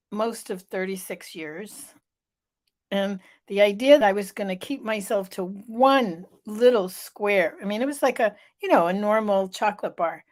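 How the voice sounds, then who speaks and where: Middle-aged woman host, stuffy-voiced, unhurried live-vlog storyteller. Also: mid-range voice. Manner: slow, storytelling, emphatic repetition.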